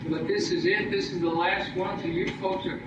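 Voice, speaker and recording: Highish-voiced male stage host, very echoey. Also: fairly even intonation, normal speed. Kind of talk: informal stage patter and joke telling. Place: live stage show. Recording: noisy, dull recording from far in echoey hall.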